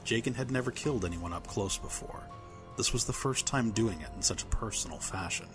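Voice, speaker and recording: A man's voice: lowish voice, fairly quick, music in the background. A man reading scripted dramatic fiction as a narrator.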